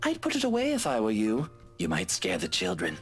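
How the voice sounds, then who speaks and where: An actor playing a haughty, teasing English gentleman in a visual novel, sarcastic and condescending. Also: fairly low pitch, wildly swooping pitch, a little fast, breathy edge, exaggerated voice. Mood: mocking, teasing. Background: game music.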